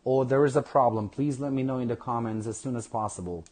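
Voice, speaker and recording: A man teaching a live online English lesson, speaking slowly and repeating phrases for his learners. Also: medium pitch, a little mellow. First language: Kurdish.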